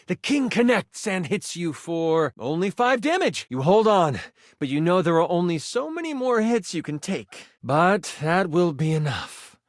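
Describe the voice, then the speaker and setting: A man's voice: high voice, sing-song, a little slow, no room sound, studio quality. A man narrating as game master in a dramatic, theatrical, suspenseful fantasy storytelling style.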